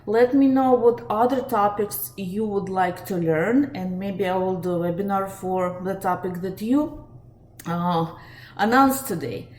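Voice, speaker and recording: A woman's voice: mid-range voice, unhurried, a little mellow. A woman with a Russian accent, a life coach hosting a live webinar, talking steadily and earnestly with frequent fillers. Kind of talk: live webinar talk to viewers. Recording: echoey room, faint ambient noise.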